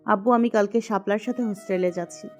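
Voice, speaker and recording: A hurt, headstrong young woman voiced by an actress in a romantic audio story. She answers firmly and curtly in a mid-range voice, with soft music underneath. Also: mid-range voice, steady tone, fast, lightly acted. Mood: firm, resolute. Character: Anu, stubborn, hurt young woman, firm and curt. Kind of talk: Bengali audio story dialogue.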